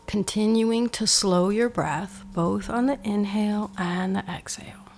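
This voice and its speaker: A woman guiding a meditation with measured instructions, in short phrases that often end hushed. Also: mid-range voice, gravelly, a little hiss or hum. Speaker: meditation guide. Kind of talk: slow guided meditation, instructional.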